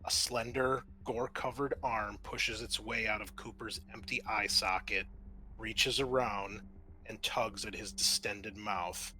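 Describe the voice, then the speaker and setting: Slow, bright-voiced male game master. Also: lowish voice, fairly even intonation, a little hiss or hum, low-quality recording. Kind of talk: narrating a horror tabletop game, reading flavor text.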